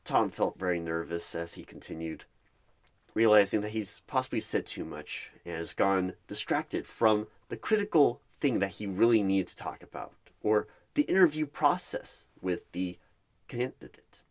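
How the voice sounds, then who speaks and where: A man narrating an ASMR roleplay in the third person, with halting, measured phrasing. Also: lowish voice, expressive.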